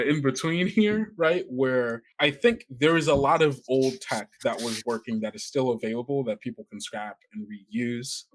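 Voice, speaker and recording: A man casually talking players through a tabletop game as the game master and host. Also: medium pitch.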